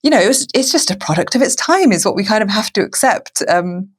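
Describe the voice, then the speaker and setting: A woman's voice: mid-range voice, lively intonation, fast talker, breathy edge. A woman hosting a casual podcast discussion about films, conversational and restarting phrases as she goes. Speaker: podcast host.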